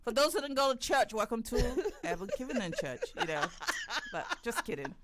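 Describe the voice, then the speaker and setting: Animated woman hosting a chat show. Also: a little high, fairly quick, noisy background. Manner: animated, laughs often, many affirming hums. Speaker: talk show host. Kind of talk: casual chat interview.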